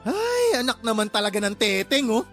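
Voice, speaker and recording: Reproachful younger sister, Filipino accent, high voice, sarcastic scolding, radio drama, music behind. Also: female voice, very animated, moderate pace. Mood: exasperated, accusing. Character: angry, reproachful younger sister who pays the tuition. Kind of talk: Tagalog radio drama acting.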